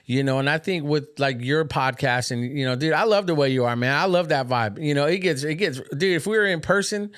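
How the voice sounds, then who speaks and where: A man's voice: fairly high pitch, fairly quick, few pauses, fairly bright tone, no echo, studio quality. A man in casual podcast chat, talking loosely with lots of fillers while asking questions.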